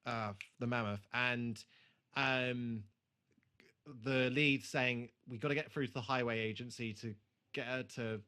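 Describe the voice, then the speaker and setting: A man's voice: mid-range voice, fairly even intonation, unhurried, bright, forceful tone, clean tone. Guy doing a casual tv rewatch podcast, swears a fair bit, just chatting. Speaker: podcast host. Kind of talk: casual chat about a TV show.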